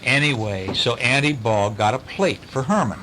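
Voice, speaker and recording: A man's voice: mid-range voice, normal speed, sharp tone, a little hiss or hum, low-quality recording. A man reading a bedtime story aloud to children, with chatty asides as the storyteller.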